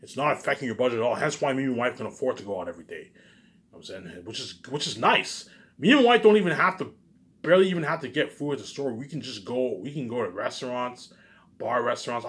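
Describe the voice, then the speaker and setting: A man's voice: medium pitch, animated, fast talker, fairly bright tone. A man talking off the cuff in a casual, rambling monologue, circling back over the same phrases and tossing in casual swearing and tag questions.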